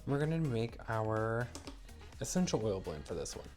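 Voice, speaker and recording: A man's voice: medium pitch, big pitch swings, moderate pace, darkish tone, soft background music. A man getting over a cold, sounding stuffy and a bit wheezy, cheerfully walking through a hands-on demonstration step by step. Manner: upbeat, explains each step as he works. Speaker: maker demonstrating a product. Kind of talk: casual how-to demonstration.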